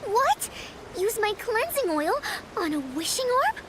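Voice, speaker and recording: A woman voicing an excitable, proud young female inventor of beauty products, gushing theatrically in a video game cutscene. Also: extremely high pitch, a little slow, slight background noise, heavily acted. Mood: excited, proud.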